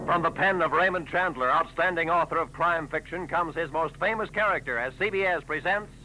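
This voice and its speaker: A man announcing credits and commercials on a 1940s network radio broadcast in a crisp, formal delivery. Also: a little high, a little fast, very noisy. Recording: old radio broadcast with faint music.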